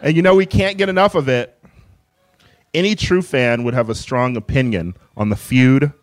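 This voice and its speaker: A man co-hosting a podcast, teasing with quick jokes in comedic banter. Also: medium pitch. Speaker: podcast co-host.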